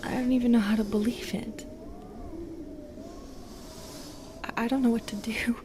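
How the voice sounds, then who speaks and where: A woman playing a lonely, wounded and wary Medusa in an intimate audio roleplay, speaking hesitantly and softly, often dropping into a whisper. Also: fairly high pitch, steady tone, noisy background, close to an everyday voice. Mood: shy, disbelieving. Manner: hesitant, halting, soft and breathy.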